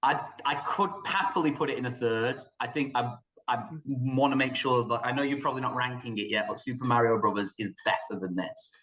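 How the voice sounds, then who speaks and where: Casting: British male podcast co-host, mid-pitched voice, informal, blunt film chat. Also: fairly quick, few pauses, fairly bright tone, roomy sound, low-quality recording. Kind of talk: casual opinionated film discussion.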